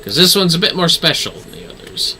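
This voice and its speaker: A man gives casual commentary as he streams a game. His voice is bright and crisp over faint background noise, and he drops offhand, sweary asides. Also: a little high, big pitch swings, a little fast, poor audio. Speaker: game livestreamer.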